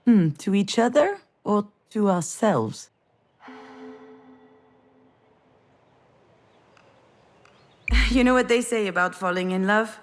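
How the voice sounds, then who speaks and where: A woman's voice: mid-range voice, animated, moderate pace, close to an everyday voice. An actress playing a vulnerable, wry, grieving woman writer in a narrative video game, reflective and confiding in a naturalistic style. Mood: gentle, wry.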